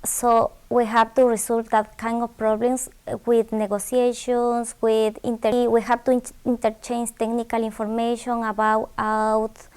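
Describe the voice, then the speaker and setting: A young woman with an Ecuadorian Spanish accent speaks English slowly and haltingly, often repeating words as she restarts her sentences. Her voice is higher than most and sounds smooth and clear. She is answering interview questions about her studies. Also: completely flat delivery, darkish tone. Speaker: graduate scholarship student.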